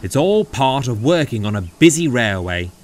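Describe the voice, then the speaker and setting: A man's voice: mid-range voice, big pitch swings, normal speed, slight background noise, natural voice. A man voicing a stern, dutiful male big engine in a children's story, grumbling and lecturing. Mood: cross, reproving.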